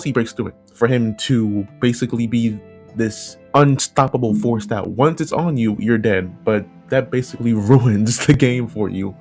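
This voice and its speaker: A man's voice: mid-range voice, darkish tone. Man giving informal commentary over music. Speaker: video essay host and analog horror creator. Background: background music.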